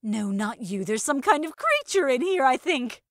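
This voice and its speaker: A woman's voice: high voice, sing-song, normal speed, no room sound, clean studio sound. A woman voicing a brash, irritable female shopper in an audio story, blunt, exclaiming and exasperated. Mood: startled, irritated.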